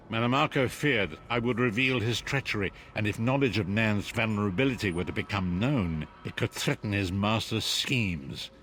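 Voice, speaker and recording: A man playing a grave, wise sage in a fantasy game, narrating in a solemn, measured, portentous way. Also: on the low side, a bit bright, a little hiss or hum. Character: grave, wise male sage, freed former prisoner.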